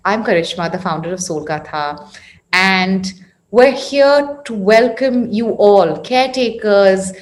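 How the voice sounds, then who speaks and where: Female interview host, mid-pitched, welcoming conversational delivery. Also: lively intonation, takes it slowly. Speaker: interview host, founder of a parenting series. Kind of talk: warm conversational interview introduction.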